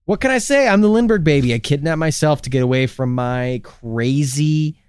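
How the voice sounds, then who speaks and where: A man co-hosting a comedy podcast, riffing absurd bits with a deadpan delivery. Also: on the high side, moderate pace, smooth, no room sound, studio quality. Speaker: podcast co-host. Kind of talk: improvised comedy podcast banter.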